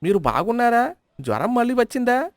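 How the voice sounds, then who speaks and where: A man's voice: very high-pitched, very animated, brisk, no room sound, clean studio sound, heavily acted. A man voicing a teasing village shopkeeper who mocks a customer. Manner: mocking, teasing.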